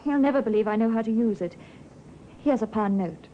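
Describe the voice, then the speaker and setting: An actress playing a persuasive, solicitous wife in a 1950s radio drama. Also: female voice, a little high, a little fast, natural voice. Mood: anxious, coaxing. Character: persuasive, solicitous wife of an ailing older man. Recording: muffled, hissy old radio recording.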